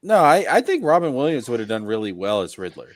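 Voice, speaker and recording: A man's voice: medium pitch, big pitch swings, fast, dry recording. A man in a casual discussion with friends, talking emphatically and restating his points as he gives his opinions. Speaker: podcast panelist.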